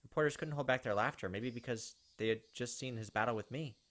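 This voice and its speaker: A man's voice: mid-range voice, fast talker, a bit bright. Man theatrically narrating a visual novel. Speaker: gameplay video host reading aloud.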